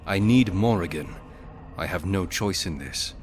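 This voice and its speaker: A man playing a steady, protective warrior hero in a fantasy game, speaking plainly and directly. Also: deep voice, unhurried, a little hiss or hum. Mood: resolute. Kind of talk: earnest game dialogue acting.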